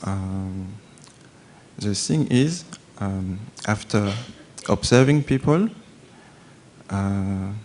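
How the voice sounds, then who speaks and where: Hesitant French-accented man, low voice. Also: lively intonation, a little mellow, slight background noise. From France. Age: 30s. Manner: hesitant, halting, many fillers and restarts. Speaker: audience questioner. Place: temple hall.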